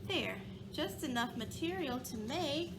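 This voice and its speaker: A woman reading the part of a kindly grandfather tailor in a storybook, musing and deliberate. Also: high-pitched, animated, noisy background. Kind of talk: storybook read-aloud.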